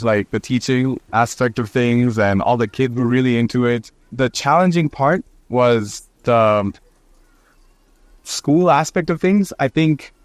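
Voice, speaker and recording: A man co-hosting a podcast in casual chat, his voice raspy and a little hoarse from a cold, telling stories in an animated way. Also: medium pitch, dry recording. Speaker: podcast co-host.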